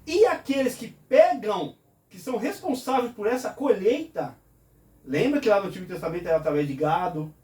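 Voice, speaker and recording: A man speaks earnestly in a fairly high voice, poses question after question, and answers each one himself. The room is echoey and he sits back from the mic. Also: very animated, normal speed, a little mellow. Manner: earnest, explains with rhetorical questions. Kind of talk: conversational preaching in a talk show. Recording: roomy echo, from a distance.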